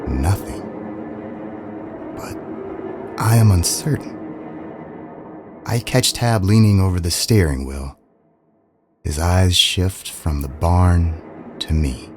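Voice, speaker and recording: A man narrating a scripted first-person story in a dramatic, brooding, deliberate manner. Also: deep voice, music in the background.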